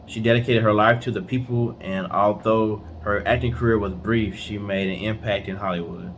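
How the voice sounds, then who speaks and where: A man's voice: on the low side, steady tone, moderate pace, a little hiss or hum. A man co-hosting a storytelling show in a casual, conversational style. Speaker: co-host of a storytelling guessing show.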